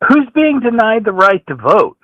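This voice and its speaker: Male phone caller from the American Midwest, sharp, higher-pitched, casual and opinionated political talk. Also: sing-song, normal speed, dry recording, highs cut off. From St. Louis, United States. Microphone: phone call.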